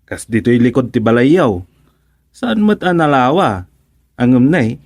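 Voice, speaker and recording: A man's voice: medium pitch, sing-song, a little slow, a little mellow. A man playing a wry, joking villager in an Ilocano radio comedy drama, with an Ilocano accent. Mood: curious, teasing.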